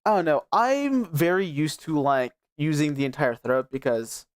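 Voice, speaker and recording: A man's voice: fairly high pitch, normal speed, clear, resonant tone. A young man streaming, chatting casually and rambling with many restarts. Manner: rambling, restarts a lot, laughs often. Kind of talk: casual chat.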